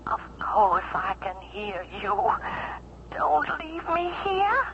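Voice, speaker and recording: A woman's voice: mid-range voice, sing-song, a bit bright, full character voice. An actress playing an anguished, plaintive widow speaking her trapped inner thoughts in a 1970s radio drama. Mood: desperate pleading. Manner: plaintive, pleading, drawn-out. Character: anguished, paralyzed wealthy widow voicing silent thoughts. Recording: noisy, slightly dull vintage radio recording.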